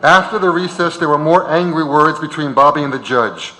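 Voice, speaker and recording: A man's voice: a little high, a little fast, bright, forceful tone, slight background noise. A man reading scripted narration on stage in a reverberant auditorium. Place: large auditorium.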